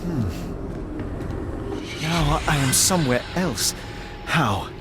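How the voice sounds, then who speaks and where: An actor playing a shrewd, driven private detective in a video game, speaking in a formal, precise and coolly commanding way. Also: male voice, fairly high pitch, expressive, slow pace. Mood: uneasy, awed. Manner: formal, precise, deductive delivery. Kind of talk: dramatic video game voice acting. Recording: music bed under the voice.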